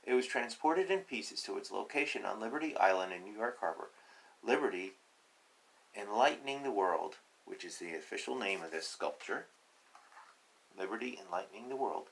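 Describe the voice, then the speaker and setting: A man with a bright, forward voice reads a children's picture book aloud. His pitch swings widely as he lands each short phrase with care. Between lines he drops into playful, conversational asides. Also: low-quality recording. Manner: deliberate, emphatic, pausing between short phrases.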